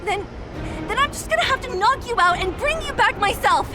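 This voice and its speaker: A woman's voice: very high voice, lively intonation, fairly quick. A woman playing a brash, fiercely loyal young rebel fighter in a video game, blunt, defiant and impassioned. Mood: determined, pleading. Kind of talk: dramatic video game voice acting. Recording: game music behind voice.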